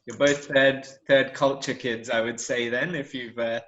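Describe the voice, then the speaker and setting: A man's voice: mid-range voice, level tone, unhurried, bright, forceful tone. A young British man hosting a casual interview podcast over a video call. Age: young adult. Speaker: podcast host. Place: room at home, indoors. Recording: roomy, dull video-call audio.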